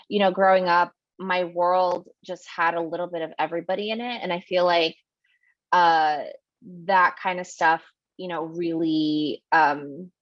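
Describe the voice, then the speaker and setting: A woman's voice: on the low side, no echo. A woman from Ecuador, raised in New York, answering questions as the guest author at a virtual book event, thoughtful and digressive with many fillers. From Ecuador, raised in Queens, New York. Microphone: video call. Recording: dry, muffled video-call audio.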